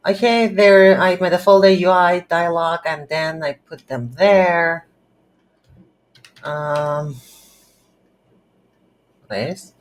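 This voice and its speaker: A woman hosting a casual game development tutorial, speaking haltingly as she thinks aloud while working. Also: on the low side. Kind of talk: casual screen-recorded software tutorial.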